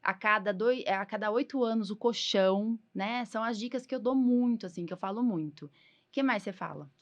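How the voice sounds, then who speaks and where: A woman's voice: mid-range voice, fairly quick. A woman with a Brazilian Portuguese accent, a doctor hosting a casual health podcast, chatty and explanatory with frequent tag questions. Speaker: podcast host, allergist doctor.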